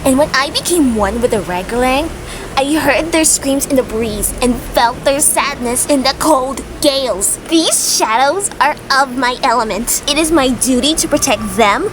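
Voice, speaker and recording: A woman's voice: sits high, animated, measured pace, noisy background. A woman voicing a defiant teenage girl guardian of air in an earnest, solemn fandub performance. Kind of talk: animated fandub dialogue.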